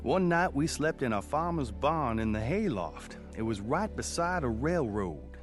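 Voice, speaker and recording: Plain-spoken reminiscing narrator, radio drama, medium pitch, lively delivery, faint music behind. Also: male voice, unhurried. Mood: reminiscent. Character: folksy man recalling his hard rural boyhood.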